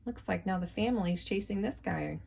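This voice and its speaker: A woman reading a picture book aloud to young children in an expressive, informal way, with casual asides. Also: medium pitch, moderate pace, gravelly, slight background noise. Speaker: teacher reading aloud to kindergarteners.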